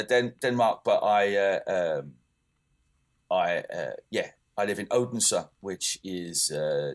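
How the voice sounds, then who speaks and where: A young man co-hosting a podcast, talking casually with lots of fillers and restarts. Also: low voice. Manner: rambling, many fillers and restarts. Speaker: podcast co-host. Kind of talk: casual chat.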